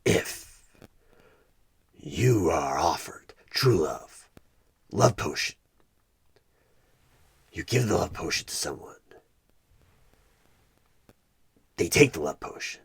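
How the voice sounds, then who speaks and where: A man playing a sinister, coaxing evil recruiter who bargains for souls in a creepy ASMR horror roleplay, husky and half-whispered, with deliberate dramatic pauses. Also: low voice, animated, exaggerated voice. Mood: taunting, probing. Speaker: audio roleplay performer.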